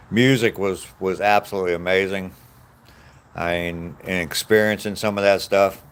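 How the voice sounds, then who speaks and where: A man in his sixties from the United States, chatting casually with live viewers while out on a walk, reminiscing in an easygoing way and chuckling often. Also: sits low. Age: 60s. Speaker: vlogger answering live chat. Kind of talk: casual rambling chat. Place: outdoors on a walk.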